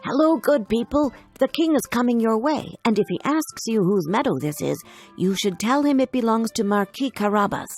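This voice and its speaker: A woman's voice: fairly high pitch, lively intonation, moderate pace, a little mellow, soft background music, voice pushed up. A woman voicing a cunning, polite male cat servant in a children's storybook, speaking in an earnest, persuasive, courtly manner. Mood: commanding.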